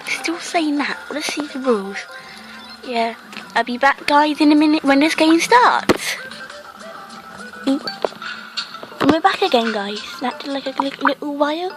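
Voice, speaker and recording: A woman hosting a casual game commentary, rambling with lots of fillers and a croaky voice from a cough. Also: high-pitched, expressive, measured pace, pauses often, over music, low-quality recording. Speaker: gaming channel host.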